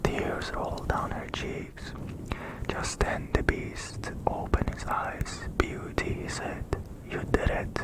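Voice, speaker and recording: A storyteller whispering in a breathy, unvoiced voice, gently delivering an ASMR bedtime story. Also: rough recording. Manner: slow, hushed, gentle delivery. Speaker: ASMR storyteller and channel host.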